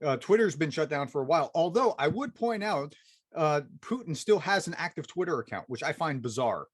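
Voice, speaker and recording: Male guest, somewhat high voice, relaxed and chatty. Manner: casual, chatty, halting restarts. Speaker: podcast guest. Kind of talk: conversational interview.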